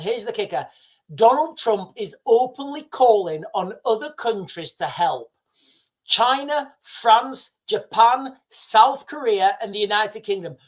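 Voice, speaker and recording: A middle-aged man hosting a casual news livestream, talking straight to his viewers with many restarts and asides. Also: high voice. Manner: excitable, addresses viewers directly, rambling with restarts.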